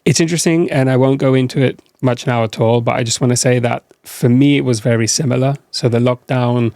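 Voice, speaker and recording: A man's voice: medium pitch, fairly even intonation, brisk, a little mellow, dry recording, clean studio sound. A man from Greece hosting a podcast, asking questions in a conversational, encouraging way. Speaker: podcast host. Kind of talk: casual interview chat.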